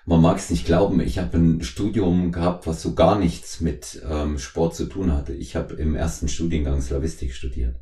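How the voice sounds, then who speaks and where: A middle-aged man from East Germany hosting a conversational podcast interview, speaking in wordy, halting phrases. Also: extremely low pitch, steady tone, dark tone. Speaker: podcast host. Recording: roomy, echoing voice recording.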